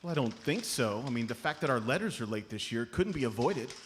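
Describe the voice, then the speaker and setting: Man playing an excitable, animated boyish adventurer. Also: medium pitch, fairly quick, close to an everyday voice. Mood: earnest. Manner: gushing, eager, earnest. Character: excitable, sentimental, boyish adventurer and devoted best friend. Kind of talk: comedic live radio play acting. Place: comedy theatre stage, live show. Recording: noisy live stage recording.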